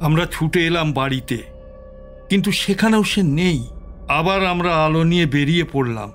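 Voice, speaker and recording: An actor playing a heartbroken father reading out a sorrowful letter in a solemn, mournful audio drama style, with pauses. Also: a little high, a bit bright, soft background music, natural voice. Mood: anguished. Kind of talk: Bengali audio drama acting.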